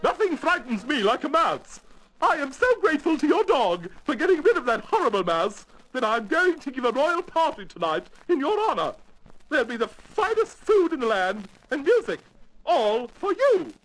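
A man's voice: very high-pitched, sing-song, moderate pace, a bit bright, voice pushed up, full character voice, rough recording. A man voicing a hysterical, imperious storybook Queen in a grandly dramatic manner, with music behind. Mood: grand, gracious. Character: hysterical, imperious storybook Queen, adult woman, easily frightened.

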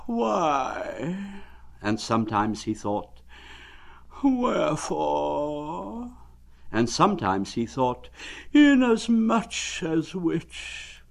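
A man voicing a gloomy old grey male donkey in a storybook reading, talking slowly and mournfully. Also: fairly high pitch, sing-song, creaky, voice pushed up, exaggerated voice. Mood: pondering. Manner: slow, sad and mournful.

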